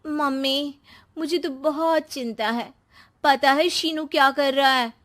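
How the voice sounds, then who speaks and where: A woman voicing a greedy, manipulative young daughter in an animated moral story, with a dramatic, insinuating delivery. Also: sits high, slow, voice pushed up. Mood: gossipy, insinuating.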